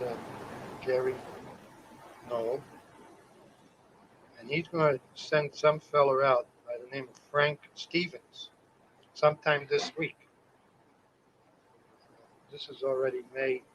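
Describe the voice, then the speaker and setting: A middle-aged man dictating plain, deliberate memos to himself, pausing between phrases, on an old home cassette tape. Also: mid-range voice, fairly bright tone, slight background noise, poor audio. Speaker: car business owner recording spoken notes. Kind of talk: spoken memo to himself.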